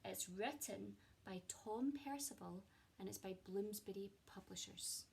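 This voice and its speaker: A woman reading a children's picture book aloud in an expressive storytelling way, as a teacher speaking to young listeners. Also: medium pitch, measured pace, vocal fry, slight background noise.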